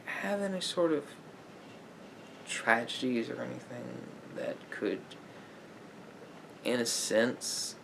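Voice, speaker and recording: A man speaking softly as a therapist in an ASMR roleplay, in a measured, halting, explanatory way. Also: mid-range voice, animated, vocal fry, background noise.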